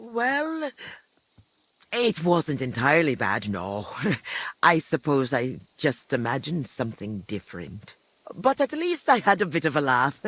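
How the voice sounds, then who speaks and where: A woman's voice: very deep voice, fairly bright tone, dry recording, exaggerated voice. An actress playing a chatty, kindly old village granny in a video game, rambling and hesitating as she talks. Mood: amused, tactful. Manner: rambling, hesitant recollection with frequent second thoughts. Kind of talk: video game dialogue acting.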